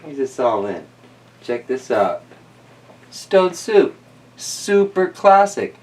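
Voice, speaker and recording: An easygoing man hosts a children's storytime, chatting casually and reading aloud. His medium-pitched voice swings expressively from low to high, with musing asides, and he is recorded a bit away from the mic. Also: sing-song, slow, darkish tone, recorded from a distance.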